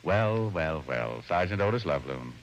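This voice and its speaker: A suave American private eye from a 1940s radio show, with a lowish voice that moves freely up and down. He is glib and sardonic, on a slightly noisy old recording. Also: big pitch swings, normal speed, dull audio. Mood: dryly teasing. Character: wisecracking, suave, teasing private detective. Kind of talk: 1940s radio detective acting.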